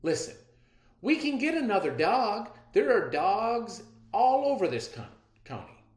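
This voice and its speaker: A practical farm mother from a storybook, acted by a man. She is concerned, firm and coaxing, with raised pitch and an echoey room sound. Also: big pitch swings, unhurried. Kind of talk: storybook character reading. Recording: roomy echo.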